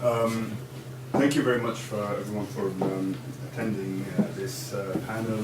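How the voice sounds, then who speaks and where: A man from Syria chairing a conference panel, speaking haltingly with many fillers and restarts. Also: on the low side, steady tone. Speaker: panel moderator. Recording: echoey room from far off, background noise.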